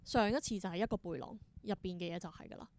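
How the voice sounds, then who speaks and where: Mellow-voiced Hong Kong Cantonese woman. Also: on the low side, fast, slight background noise. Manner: thoughtful, mixes in English words. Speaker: interview guest, theatre performer and creator. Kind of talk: reflective interview conversation.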